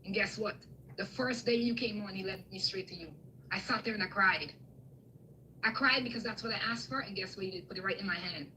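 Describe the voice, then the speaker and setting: A woman's voice: medium pitch, fairly quick, sharp tone, a little hiss or hum, low-quality recording. A woman from Guyana giving a fervent, emotional spiritual testimony on a live call, repeating herself as she preaches. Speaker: guest speaker on a live video call.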